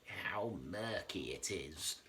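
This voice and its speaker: A man voicing a crusty, grumbling old coral with a creaky voice in a storybook. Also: low-pitched, animated, slow, bright, forceful tone, a little hiss or hum, voice pushed down, full character voice. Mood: complaining.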